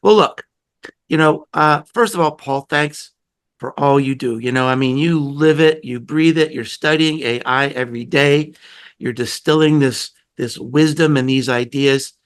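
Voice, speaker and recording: A man hosting a podcast interview, a little hoarse, talking in a chatty, rambling way with many restarts. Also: medium pitch, a little slow. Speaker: podcast host. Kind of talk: casual conversational interview.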